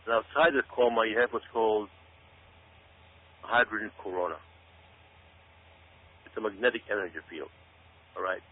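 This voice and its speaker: Emphatic low-voiced man on tinny phone line. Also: normal speed, pauses often. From Israel. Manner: emphatic, repeats phrases for stress. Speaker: author and researcher, radio show guest. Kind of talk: conversational talk-radio commentary, explaining. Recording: tinny phone-like radio audio.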